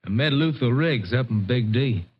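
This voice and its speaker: An actor playing a menacing, greedy, resentful farm brother with an insolent rural Texan drawl in an old radio western. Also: male voice, medium pitch, expressive, normal speed, slightly rough. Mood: needling. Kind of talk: vintage radio western drama acting. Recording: muffled vintage radio recording.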